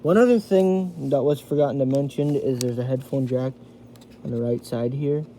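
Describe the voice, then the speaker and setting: Halting man reviewing a gadget, noisy recording. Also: mid-range voice, dark tone. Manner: halting, thinking aloud while demonstrating. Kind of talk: casual hands-on product review. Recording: noisy homemade recording with radio static.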